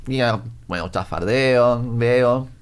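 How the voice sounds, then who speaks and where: A man's voice: medium pitch, slow pace, clean tone. A man with an accent from Spain chatting casually as a guest, telling stories and acting out what people said. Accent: Spanish from Spain. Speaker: guest, social educator and bookshop founder. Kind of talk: casual conversational storytelling.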